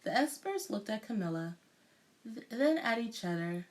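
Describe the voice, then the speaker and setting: A woman reading a children's picture book aloud with deliberate, dramatic storytelling delivery. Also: a little high, very animated. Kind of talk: expressive children's read-aloud narration.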